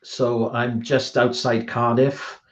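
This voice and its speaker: A man's voice: mid-range voice, flat delivery, slow, lo-fi sound. A Welsh man, an author being interviewed on a video call, talking in a hesitant, self-deprecating way with many ums and restarts. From Wales. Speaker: author guest. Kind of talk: casual online chat interview.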